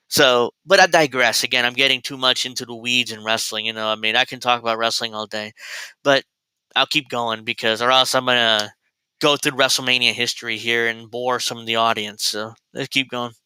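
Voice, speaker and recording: A man's voice: medium pitch, steady tone, normal speed, bright, forceful tone, clean tone, no echo, low-quality recording. A man in his thirties hosting a casual commentary show, rambling and digressive, with frequent restarts. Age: 30s. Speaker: host.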